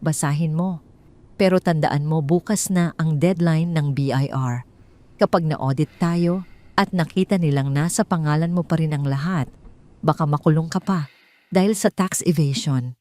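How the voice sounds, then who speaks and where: A woman voicing a betrayed wife in an audio drama, controlled and guarded, speaking in a restrained, pointed, measured way. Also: deep voice, mellow, lightly acted. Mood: restrained, firm.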